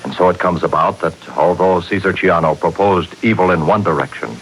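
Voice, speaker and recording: A man narrating a 1940s radio serial as announcer, in a theatrical, measured, scripted style. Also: deep voice, rough recording. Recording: hissy 1940s radio broadcast.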